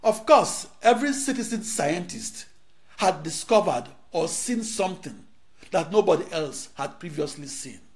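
A middle-aged man from Nigeria, a native Igbo speaker, with a notably high-pitched voice. He delivers a rehearsed lecture in short, declaimed phrases broken by dramatic pauses, recorded close on a lapel mic in a studio. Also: expressive.

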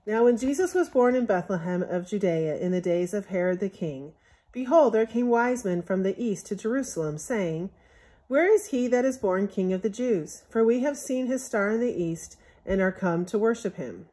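A woman reading a Bible story aloud from a picture book in measured, scriptural phrasing, as a Sunday school storyteller. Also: medium pitch, expressive, warm tone.

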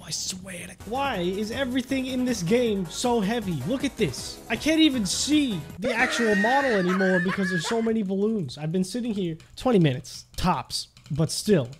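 A young adult man giving casual, joking gameplay commentary in a playful, exclamatory, mock-serious way. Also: high voice. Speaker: gaming video host. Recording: music behind the voice.

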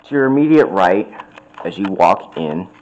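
A young man giving a casual, unhurried tour of a hotel suite, filming on a handheld phone, with fillers and restarts. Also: sits low, very animated, a little mellow, lo-fi sound, a little hiss or hum. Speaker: vlogger. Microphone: handheld phone camera.

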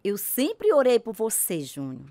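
A gentle, faithful Brazilian grandmother close to a hundred years old for radio drama. Her voice is rather high, with very varied intonation, in a close, dry recording. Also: huge pitch swings, measured pace, no room sound, exaggerated voice. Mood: gentle, devout. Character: devout grandmother of nearly one hundred, gentle, prayerful.

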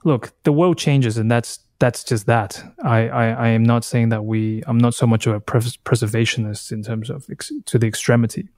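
A man in his thirties from Taiwan, whose first language is Taiwanese, speaks as a guest in a reflective conversation. His mid-pitched voice is recorded dry, with very little room sound. He thinks as he goes, halting and reworking his phrases. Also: fairly even intonation, a little mellow, no room sound. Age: 30s. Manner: halting, thoughtful, circles back to rephrase. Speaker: podcast guest, artist.

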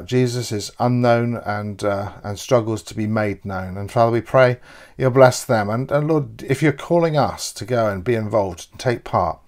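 Middle-aged man, halting church notices. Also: on the low side. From England. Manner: rambling, many filler "uh"s and restarts. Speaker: church leader. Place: home office, indoors.

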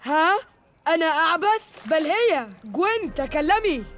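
Cartoon boy of ten: cheeky, indignant, sarcastic, high register. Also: big pitch swings, unhurried, fairly bright tone, exaggerated voice. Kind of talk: animated cartoon dub acting.

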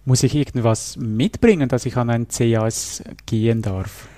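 A man hosting a security podcast, asking short, brisk questions. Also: mid-range voice, warm tone, no echo. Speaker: podcast host. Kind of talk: interview hosting.

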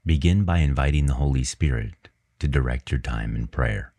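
A man narrating a guided religious meditation in a measured, reverent way with unhurried pauses. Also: a bass voice, warm tone.

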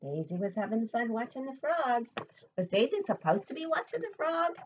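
A woman's voice: fairly high pitch, very animated, normal speed, muffled recording, a little hiss or hum. An older woman, a preschool teacher, reading a picture book expressively to young children and asking simple questions, recorded indoors at home. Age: older, 60s or above.

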